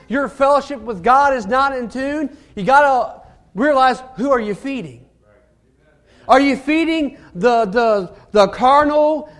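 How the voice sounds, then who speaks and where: A man preaching a sermon in a halting, earnest way, often repeating words as he goes. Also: extremely high pitch.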